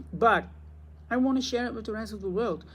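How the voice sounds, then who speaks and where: A man from Belgium, a native Dutch speaker with a Belgian Dutch accent, chatting to camera as a spiritual teacher in an excitable, rambling way. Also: sits high, normal speed, a little hiss or hum. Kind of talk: casual talk to camera.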